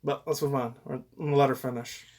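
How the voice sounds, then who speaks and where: A man's voice: medium pitch, fairly even intonation, fast, a little mellow. A man in his thirties giving casual, rambling reaction commentary as a YouTuber, frank and profane. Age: 30s. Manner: crude, frank, profane, chuckling asides.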